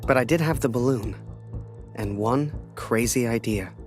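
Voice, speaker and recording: An actor playing a weary, disillusioned young war photographer, narrating a game story in a rueful, introspective way with music behind. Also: male voice, medium pitch, a little slow, natural voice. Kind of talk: reflective first-person game narration.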